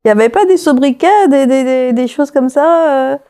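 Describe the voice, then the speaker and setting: A woman hosts an interview show. Her voice is fairly high yet very soft and rounded in tone. She puts questions to her guest conversationally. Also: lively intonation, normal speed.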